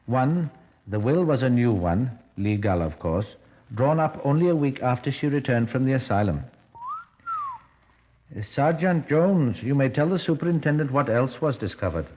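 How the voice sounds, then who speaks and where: An actor playing a pompous, deliberate country doctor with formal, hesitant phrasing in a 1950s radio drama. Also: male voice, medium pitch, expressive, slight background noise, close to an everyday voice. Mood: indignant, solemn. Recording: dull old 1950s radio recording.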